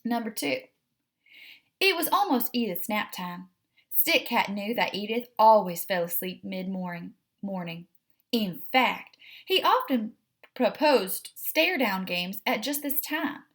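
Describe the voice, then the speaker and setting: A woman's voice: mid-range voice, expressive, measured pace, fairly bright tone. A woman hosting a read-aloud, narrating a children's storybook expressively and addressing young listeners directly.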